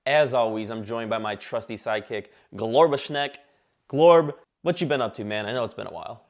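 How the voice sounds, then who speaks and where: A man in his twenties from Michigan hosting a comedy talk show sketch in a small studio, irreverent and excitable, swearing a lot. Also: mid-range voice, brisk. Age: 20s. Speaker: host. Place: small indoor studio set.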